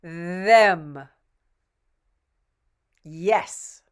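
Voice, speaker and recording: Female pronunciation coach, very slow and patient, smooth clear voice, slightly low. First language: English. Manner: models each word twice, patient and encouraging. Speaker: pronunciation teacher. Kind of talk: slow, deliberate pronunciation drill.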